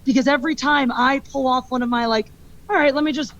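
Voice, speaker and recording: A woman's voice: high voice, steady tone, quick pace, fairly bright tone. Petty rambling woman streamer, muffled clip with light hiss. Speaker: streamer and stand-up comic. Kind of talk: clip of a livestream rant. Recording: muffled clip with faint noise.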